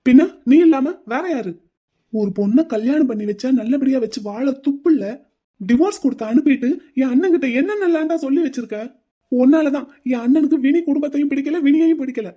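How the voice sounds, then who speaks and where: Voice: sits high, fairly quick, mellow, natural voice. A performer voicing a hurt, resentful young groom who talks in an accusing, heated way in an audio drama.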